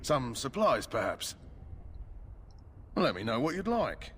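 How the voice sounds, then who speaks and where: A man's voice: medium pitch, big pitch swings, takes it slowly, a bit bright. An actor playing a courteous army quartermaster in a fantasy video game, politely offering his wares. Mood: obliging. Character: courteous male army quartermaster selling supplies. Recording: game audio with background noise. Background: game ambience.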